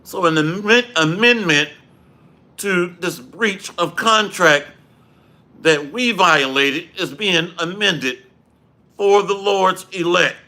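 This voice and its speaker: A man leads a livestreamed Bible lesson in a slow, deliberate cadence. His throat is dry and he clears it often. His voice stays bright and forceful. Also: high voice. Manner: slow, measured preaching with Hebrew phrases. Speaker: Bible teacher. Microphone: livestream microphone.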